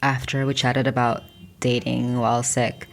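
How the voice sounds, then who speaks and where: A woman's voice: a bass voice, little pitch movement, normal speed, gravelly, slight background noise. A woman hosting a podcast, chatting casually with a guest and narrating between talks. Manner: casual, conversational. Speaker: podcast host.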